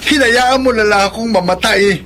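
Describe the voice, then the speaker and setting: An actor playing an emotional, easily hurt young adult son in a radio drama, with a Filipino accent and a direct manner. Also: male voice, sits high, moderate pace, a bit bright, slight background noise. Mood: bitter, hurt.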